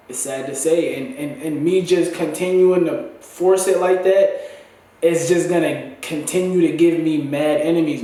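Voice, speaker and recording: A man's voice: a little high, a little mellow. A congested man with a dry throat, rambling in a loose, slangy way as he talks to his vlog viewers. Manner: loose, slangy, restarts often. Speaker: vlogger. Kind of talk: casual rambling monologue. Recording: very echoey room, mic at a distance.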